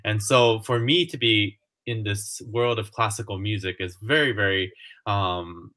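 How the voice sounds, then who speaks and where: Man from Japan, thirties, bright and low, chatty with fillers, video-call guest. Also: measured pace. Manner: chatty, many fillers and restarts. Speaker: music and artistic director. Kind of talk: casual video-call interview. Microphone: headphones on a video call.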